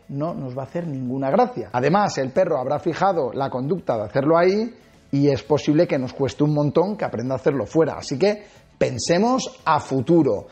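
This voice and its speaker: A man in his forties from Spain hosting a dog-training video, talking to camera with animated gestures and emphatic swings in pitch. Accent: Spanish from Spain. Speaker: dog trainer and channel host. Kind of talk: energetic tips video to camera. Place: small indoor studio set with shelves. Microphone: lapel.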